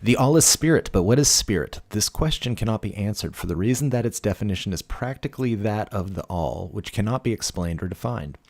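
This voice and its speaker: Male reader, deep register, dry sound. Expressive, emphatic delivery of dense philosophical prose. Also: brisk, gravelly, hardly any pauses, dry recording. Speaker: audiobook reader. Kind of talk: expressive read-aloud of a philosophical text.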